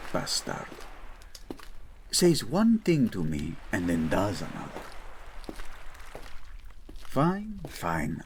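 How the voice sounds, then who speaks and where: A man's voice: on the low side, a little hiss or hum, heavily acted. A man playing a proud, devoted nobleman husband in a romantic audio drama, speaking formally and dramatically with tender endearments. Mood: grumbling, relieved. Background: background music.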